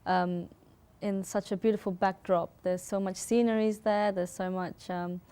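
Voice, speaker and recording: A woman's voice: mid-range voice, little pitch movement, normal speed, mellow, clear, resonant tone. Informal woman from Sri Lanka, being interviewed. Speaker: interview guest, artist and photographer. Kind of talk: casual interview answers.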